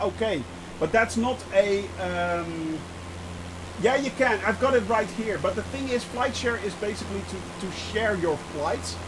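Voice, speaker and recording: A man with a rather high voice hosts a live flight simulator stream, chatting loosely with his viewers. He thinks out loud, stumbles over restarts, and calls out to chat members by name. A faint background hum sits under his voice. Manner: chatty, thinking aloud, greets viewers by name with bursts of excitement; frequent hesitations and restarts while explaining. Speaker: livestream host of a flight simulator channel.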